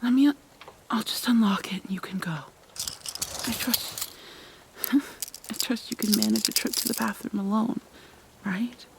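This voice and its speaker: A woman playing a possessive yandere captor in an ASMR roleplay, tender and menacing, coaxing with pet names in a soft, breathy voice. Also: on the high side, a little slow, long pauses. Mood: soothing, wary.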